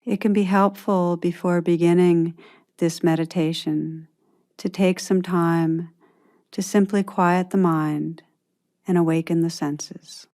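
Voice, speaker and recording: A woman narrating a guided meditation as a teacher, in a soothing, unhurried manner with long pauses between phrases. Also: lowish voice, steady tone, darkish tone.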